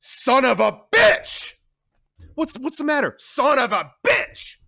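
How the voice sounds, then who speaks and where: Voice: mid-range voice, big pitch swings, normal speed, fairly bright tone, husky, voice pushed up. A storyteller voicing a hot-tempered brother who explodes into cursing. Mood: enraged.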